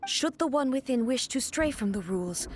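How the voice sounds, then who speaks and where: A woman playing a solemn, regal warrior deity in a video game, speaking in a grave, formal, measured manner. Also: fairly high pitch, lively intonation, noisy background, lightly acted. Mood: stern, challenging. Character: solemn, regal female warrior deity and her stern puppet double. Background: game music.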